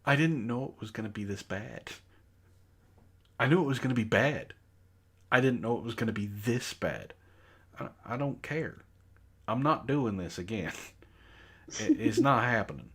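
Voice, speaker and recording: A man's voice: medium pitch, expressive, moderate pace, pauses often, a bit bright, breathy edge. A man reading bad fan fiction in mock-dramatic style, with exasperated, sarcastic asides. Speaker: reader on a comedy book club show.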